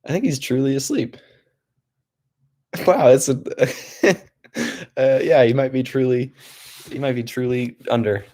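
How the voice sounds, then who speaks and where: A young man hosting a relaxed livestream from his home desk, talking softly in a calm, rambling way with many restarts. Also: mid-range voice, slow pace, long pauses. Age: young adult. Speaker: livestream host.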